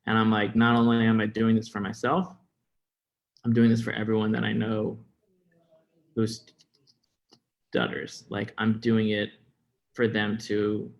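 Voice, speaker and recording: A man's voice: lowish voice, completely flat delivery, unhurried, vocal fry, pauses often, lo-fi sound. A young American man with a stutter talking candidly and informally as an interview guest, hesitating and repeating words. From Florida, United States. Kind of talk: candid personal interview talk.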